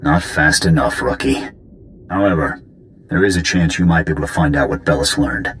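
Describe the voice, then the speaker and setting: Casting: impatient operations officer in a military video game, low-pitched, commanding, scornful. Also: male voice, moderate pace, a bit bright, slight background noise. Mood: scornful, angry.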